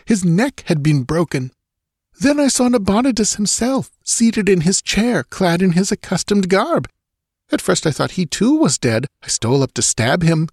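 A frightened young nobleman, acted out by a male reader, speaks in a raised, strained pitch with hushed urgency. His words are formal and full of horror, as in a fantasy audiobook, and the sound is close and dry. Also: no room sound, full character voice. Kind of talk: dramatized audiobook fantasy adventure.

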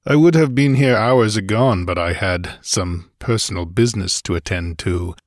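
A man voicing a gruff, fearless barbarian warrior in a fantasy audiobook, blunt and growling. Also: fairly low pitch, normal speed, dry recording, clean studio sound, natural voice. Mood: blunt, grim. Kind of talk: dramatized audiobook fantasy adventure.

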